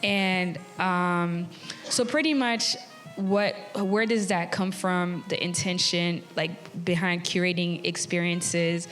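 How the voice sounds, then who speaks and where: A woman's voice: lowish voice, fairly even intonation, takes it slowly, a bit bright, faint music behind. A woman from Haiti in her thirties, a tech community founder speaking earnestly on a live theatre panel. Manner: earnest, friendly, with fillers and laughs. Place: theatre stage. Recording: long reverb of a theatre hall.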